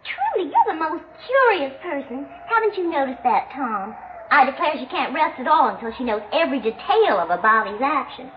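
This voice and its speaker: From a dull, slightly noisy 1960s radio drama comes a young American country widow. Her voice is extremely high and girlish. She sounds plaintive and weary. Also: very animated, brisk. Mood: coy, matter-of-fact. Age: young adult.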